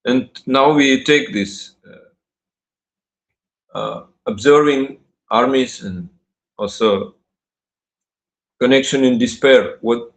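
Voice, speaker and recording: A man from Russia with a Russian accent gives a devotional talk on scripture. He speaks haltingly, with many pauses and restarts, and laughs often. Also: medium pitch, echoey room, poor audio.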